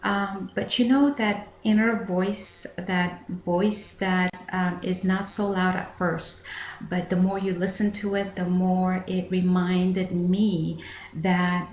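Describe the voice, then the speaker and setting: A woman's voice: lowish voice, level tone, takes it slowly. Middle-aged woman in an echoey room. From Afghanistan. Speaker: founder of a charitable bottled water company, interview guest. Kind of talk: interview answers. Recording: echoey room, faint background noise.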